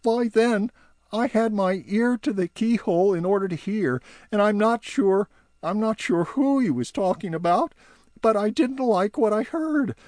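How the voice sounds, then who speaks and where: A man voicing an excitable young male elf with a high giggly voice who stammers and repeats words. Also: unhurried, dry recording. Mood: hushed, gossipy.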